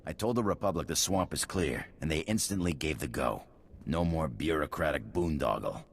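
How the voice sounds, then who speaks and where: Very deep-voiced, folksy working man. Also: lively intonation, moderate pace, slight background noise. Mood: friendly, wry. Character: plain-spoken, weary colony engineer, gruff man. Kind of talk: video game dialogue.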